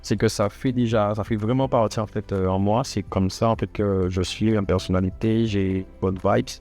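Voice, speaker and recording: A man's voice: on the low side, steady tone, moderate pace, darkish tone. A man speaking as a podcast guest, reflecting on himself in an informal, conversational way. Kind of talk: casual conversation. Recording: music behind. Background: music.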